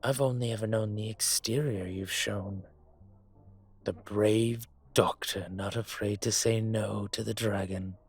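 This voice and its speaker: A man acting a suave, older, gentlemanly dragon mafia boss in a romantic roleplay audio drama, courtly and teasing, with a husky voice. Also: on the low side, fairly even intonation. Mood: teasing, smitten. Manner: unhurried, courtly, teasing, intimate. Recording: music behind the voice.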